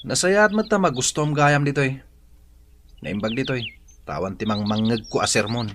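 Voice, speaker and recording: A man plays a young rural boyfriend in an audio drama. He is blunt and laid-back, with a mid-pitched voice and an "eh" tacked onto phrases. Faint noise, clicks and birdsong sit behind him. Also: moderate pace, fairly bright tone, natural voice. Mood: easygoing.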